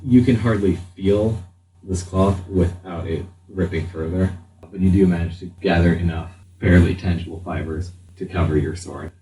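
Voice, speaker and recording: A deep-voiced man narrates a tabletop game as game master. He talks slowly and haltingly, pausing mid-sentence. The recording is roomy, with faint noise. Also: fairly even intonation, mellow, far from the mic.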